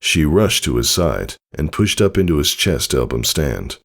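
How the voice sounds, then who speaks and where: A man's voice: very deep voice, very animated, normal speed, creaky. A man narrating a scripted story in a dramatic reading style. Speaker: fan fiction narrator.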